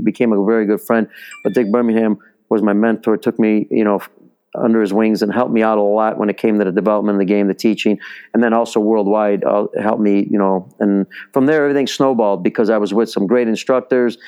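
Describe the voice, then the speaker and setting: Smooth-voiced man telling stories. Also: fairly low pitch, darkish tone. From Chicago, US. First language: Italian. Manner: rambling, many fillers and restarts. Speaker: baseball instructor, podcast guest. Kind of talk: casual interview storytelling.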